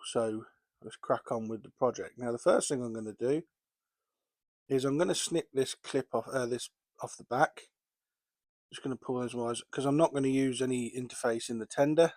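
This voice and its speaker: A hobbyist with a voice still a little rough from a recent cold talks plainly through each step of his work. His pitch sits in an ordinary middle range, and the sound is close and dry with almost no room around it. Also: a little mellow, no echo. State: recovering from a cold. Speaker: model railway hobbyist. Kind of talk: casual workbench narration.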